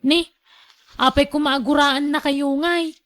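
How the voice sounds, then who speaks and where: A woman performs a younger woman with a distinctly high voice, looking after an elderly mother. She is long-suffering and earnest, reasoning her way through a radio drama. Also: steady tone, a little slow, a bit bright. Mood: questioning.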